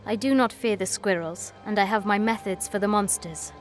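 A woman's voice: medium pitch, moderate pace, lightly acted. Lady as a guarded witchy herbalist in a fantasy game, cool and proud, faint music under. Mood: mysterious, knowing. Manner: cool, assertive. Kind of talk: dramatic video game acting.

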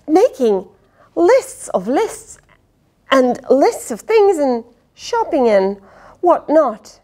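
A middle-aged woman reading a picture book aloud to children in an expressive, dramatic way, with playful asides. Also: very high-pitched. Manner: expressive, dramatic, with gasps, sighs and questions to the listener. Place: indoors, small fabric tent with cushions. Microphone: lapel.

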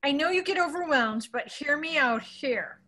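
A woman reading a bartender who calms and scolds a friend, in a table read over a video call, soothing and bossy. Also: sits high, moderate pace, poor audio.

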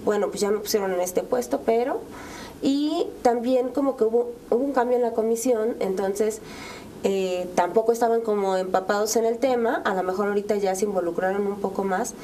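Mexican woman in her 30s explaining stuff in an interview, soft dark tone, some noise behind her. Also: medium pitch. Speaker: interview guest, relative of a victim. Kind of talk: interview answers, conversational. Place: dark TV studio, round table. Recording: clear background room noise.

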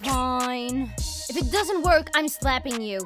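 A woman's voice: sits high, lively intonation, takes it slowly. A woman playing a brash, hot-tempered young superheroine in a comic dub, over prominent music. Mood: angry. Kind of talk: acted comic dub dialogue.

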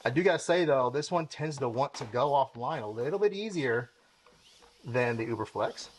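A man's voice: mid-range voice, lively intonation, moderate pace, slight background noise. A young man hosting a car-care channel, casually explaining as he works with his hands in a home garage, talking to a camera mic while he moves around. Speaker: car detailing channel host. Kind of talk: casual product demo. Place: home garage with tiled floor.

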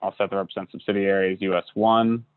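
A man giving a relaxed screen-recorded software walkthrough, with lots of uh and you know. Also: low-pitched, quick pace, clear, resonant tone, no echo. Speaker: software instructor. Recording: dry tinny lo-fi audio.

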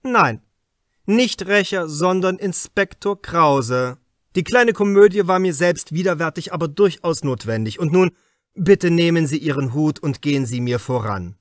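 Guy doing a shrewd pushy detective for an audiobook, formal and emphatic, voice up high, dry sound. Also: animated, a little slow, no echo. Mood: commanding. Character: shrewd, insistent male detective confronting a suspect. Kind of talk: audiobook character dialogue.

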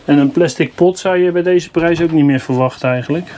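A fairly high-voiced man talks his way through a motorhome, enthusiastic but always finding something to grumble about. The camera audio carries a clear hiss of background noise. He sounds relaxed and conversational, as if speaking to his regular viewers. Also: fairly quick. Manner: chatty, enthusiastic, mildly grumbling. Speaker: vlogger reviewing campers. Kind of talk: casual walkthrough vlog. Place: inside a motorhome at a product show.

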